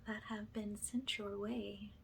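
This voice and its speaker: A woman speaking softly and breathily, often slipping into a whisper, gently reassuring in the style of a guided ASMR energy-healing session. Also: medium pitch, level tone, vocal fry, a little hiss or hum, low-quality recording. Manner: gentle, slow, reassuring, affirming.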